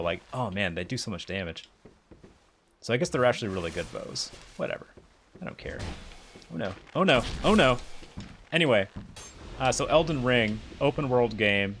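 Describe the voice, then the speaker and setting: A young man with a mixed Southern and Midwestern American accent, chatting excitably and rambling in a self-mocking way while streaming a game. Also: lowish voice, a little hiss or hum. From United States. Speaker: livestreamer. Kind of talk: casual live gameplay commentary.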